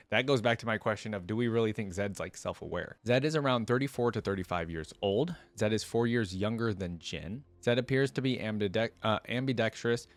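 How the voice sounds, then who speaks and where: Man, lowish voice, dry recording, casual reaction host, reads aloud with informal exclaimed asides. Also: no room sound. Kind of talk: casual reaction commentary mixed with reading aloud.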